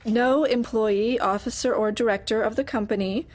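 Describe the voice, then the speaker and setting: A woman's voice: fairly high pitch, fairly even intonation, moderate pace, darkish tone, lightly acted. An actress playing a guarded, uneasy female office employee in an audio drama, hesitant, polite and defensive. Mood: uneasy compliance. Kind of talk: audio drama acting, dark comedy.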